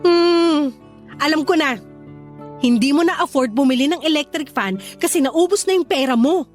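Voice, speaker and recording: A woman's voice: sits high, moderate pace, soft background music, close to an everyday voice. A woman with a Filipino accent playing a thrifty middle-aged neighbor who needles slyly in a radio drama. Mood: mocking, teasing. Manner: sly, mock-sympathetic teasing.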